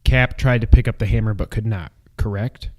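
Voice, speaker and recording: A man co-hosting an unscripted movie review, chatting casually and retelling his reactions in an animated, exclamatory way. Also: fairly low pitch, fairly quick. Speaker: co-host of a movie review show.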